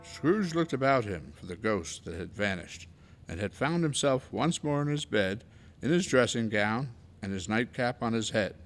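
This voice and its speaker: A man narrating a classic story for a radio drama in a measured, portentous manner. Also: mid-range voice, expressive.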